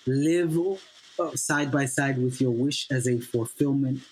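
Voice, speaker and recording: A man whose first language is Swahili, a playwright guest on a podcast interview, earnest and halting with fillers, then building to emphatic repeated lines. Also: medium pitch, steady tone, a little mellow, slightly rough, low-quality recording.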